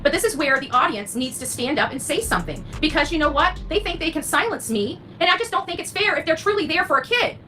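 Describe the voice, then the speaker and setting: A woman's voice: high voice, expressive, quick pace, hardly any pauses, sharp tone. A woman delivering an impassioned, blunt rant to an online audience, indignant and emphatic, often swearing. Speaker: online true crime content creator. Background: background music.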